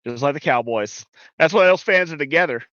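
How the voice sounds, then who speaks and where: A man's voice: fairly high pitch, expressive, brisk, a bit bright, no room sound, highs cut off, pitched below the performer's own voice. A man playing a swaggering Southern football coach. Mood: mocking. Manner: swaggering, indignant, calls people sir. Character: blustery boastful male football head coach, middle-aged. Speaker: podcast co-host in character. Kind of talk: comedic character bit.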